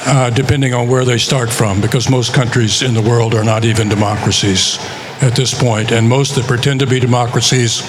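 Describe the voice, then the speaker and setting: An author from the United States giving a measured conference talk, pausing often, while he is losing his voice. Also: medium pitch, steady tone, background noise. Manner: measured, with many pauses and filler words. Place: conference session.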